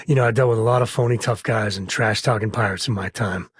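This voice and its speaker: An actor playing a wry, warm-hearted middle-aged spacefaring cowboy and ex-ranger in a video game, with a husky voice and a folksy, conversational delivery. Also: male voice, fairly low pitch, moderate pace, no room sound, studio quality. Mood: wry, teasing. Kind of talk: naturalistic video game character dialogue.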